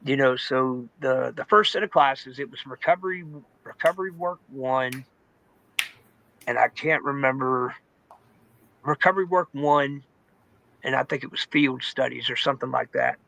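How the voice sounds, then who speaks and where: A man's voice: medium pitch, moderate pace, creaky, pushed and bright, no echo, poor audio. A man giving a personal testimony in an informal, candid, conversational storytelling way, saying "man", "dude" and "you know" often. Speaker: testimony guest in recovery.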